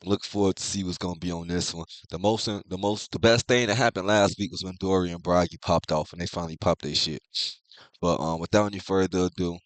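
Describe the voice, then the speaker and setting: Congested deep-voiced young man. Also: fairly even intonation, fairly quick, no echo. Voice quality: congested, thick-sounding. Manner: laid-back slangy talk, heavy profanity. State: congested, clearing his throat. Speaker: manga review host. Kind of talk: casual chat.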